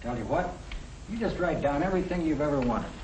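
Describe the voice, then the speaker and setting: An actor playing a practical young American husband, plain-spoken and reasoning, in the style of a 1950 educational film. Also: male voice, fairly high pitch, expressive, a little fast, a little mellow, close to an everyday voice. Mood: persuading. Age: young adult. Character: young professional husband, practical, matter-of-fact, budget-minded. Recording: echoey, noisy 1950 film soundtrack.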